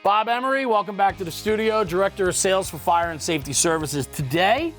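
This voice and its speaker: A man's voice: high-pitched, lively intonation, a little fast, a bit bright. A man presenting as a studio host in a conversational, upbeat and emphatic style. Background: light guitar music.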